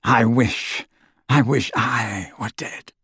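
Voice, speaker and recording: Audiobook role: bitter, anguished wizard in middle age man performing, husky, intense and contemptuous, very dry sound. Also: on the high side, sing-song, unhurried, no room sound, exaggerated voice. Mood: wretched. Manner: tormented, clipped, contemptuous delivery.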